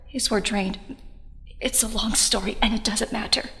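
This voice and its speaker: A woman's voice: medium pitch, steady tone, moderate pace, vocal fry, fairly bright tone. An actress playing a grieving, headstrong teenage girl superhero in an audio drama, earnest and insistent. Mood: sorrowful. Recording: reverberant hall sound.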